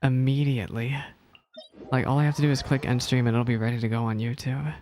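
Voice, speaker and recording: A woman's voice: extremely low pitch, steady tone, fast, mellow, slight background noise. A woman live-streaming casual game reactions, chatty, exclamatory and self-deprecating.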